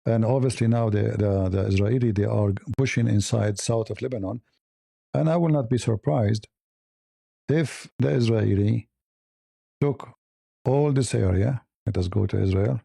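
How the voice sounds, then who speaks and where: A man's voice: lowish voice, normal speed, creaky, mellow, no room sound, clean studio sound. A man hosting a live news commentary stream, blunt and opinionated, rambling with hesitant fillers and speaking English as a second language. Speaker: host.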